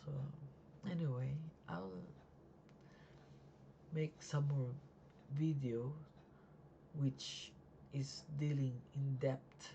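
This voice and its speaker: A life insurance agent, still learning the trade, explaining policies in a casual home video at night. The voice is raspy and sleepy, and the talk is halting, with many fillers and restarts. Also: medium pitch, steady tone, warm tone, slight background noise, rough recording.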